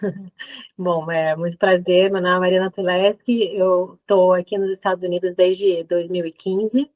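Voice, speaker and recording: A woman from Brazil, a lawyer introducing herself in a webinar, brisk and self-correcting. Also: fairly low pitch, steady tone. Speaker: lawyer guest. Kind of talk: webinar presentation. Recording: dull lo-fi webinar audio.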